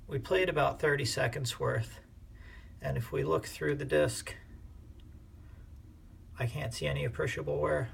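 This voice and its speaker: A man hosting a casual hobby demonstration in his living room, explaining things in a measured, hesitant way. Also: fairly high pitch, gravelly, slight background noise. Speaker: host, record hobbyist. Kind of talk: casual demonstration of vintage records. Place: indoors, living room at home.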